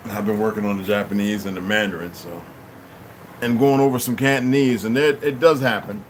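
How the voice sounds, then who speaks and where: A man hosting a livestream at home, chatting casually and rambling, thanking donors and often asking "you know what I mean". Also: mid-range voice. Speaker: livestream host. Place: room at home. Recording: steady air-conditioner hum behind.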